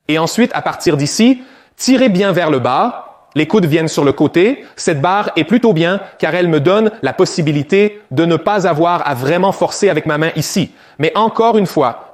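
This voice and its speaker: A man coaching viewers through a back exercise, giving direct step-by-step instructions on form. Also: a little high. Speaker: fitness coach.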